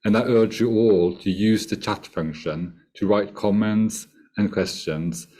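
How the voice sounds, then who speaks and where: Low, mellow-voiced man giving a formal welcome. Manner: formal, measured, ceremonious greeting. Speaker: project manager opening a webinar.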